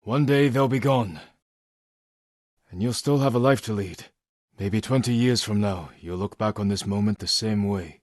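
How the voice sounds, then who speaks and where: An actor playing a stoic, honorable samurai warrior in a video game, weary and grave, speaking in a measured, restrained and protective manner. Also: male voice, lowish voice, no room sound, studio quality, lightly acted. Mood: earnest, reflective. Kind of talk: dramatic video game voice acting.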